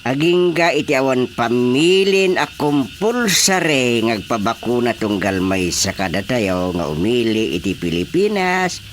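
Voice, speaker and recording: A man's voice: mid-range voice, sing-song, a little slow. A man playing an earnest, exasperated village chairman in an Ilocano Filipino radio drama, speaking emphatically. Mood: exasperated, explaining. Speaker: voice actor. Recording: faint background noise.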